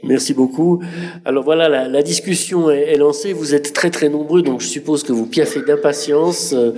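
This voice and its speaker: A man's voice: on the high side, normal speed, a little mellow. A man moderating a public panel discussion, formal and hesitant with many fillers. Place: public hall with audience. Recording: reverberant hall.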